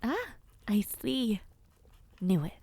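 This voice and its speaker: A woman voicing a kindly, whimsical witch in an audio roleplay, talking to the listener in a gentle, theatrical, chatty way with airy hums. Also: on the high side, very animated, takes it slowly, breathy edge, no room sound, heavily acted. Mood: wistful, tender. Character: kindly, whimsical, ancient witch, flustered caring hostess.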